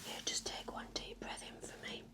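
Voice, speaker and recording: A woman speaking in a gentle, breathy, mostly whispered voice, playing a reassuring nurse in a soft-spoken ASMR medical roleplay. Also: normal speed. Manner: gentle, reassuring bedside manner. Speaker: ASMR performer playing a home-visit nurse.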